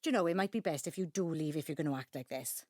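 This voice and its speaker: An actress playing a middle-aged Welsh daughter in an audio drama, a chiding, anxious peacekeeper with a South Wales accent. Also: female voice, low-pitched, fast, darkish tone, dry recording, studio quality. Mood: exasperated, pleading. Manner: chiding, pleading. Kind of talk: naturalistic audio drama acting.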